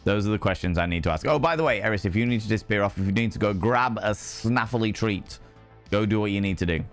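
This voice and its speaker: A man hosting a livestream, hoarse after a day of shouting, greeting viewers with playful, theatrical flourishes in casual chat. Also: fairly low pitch, quick pace, faint music behind. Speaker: livestream host.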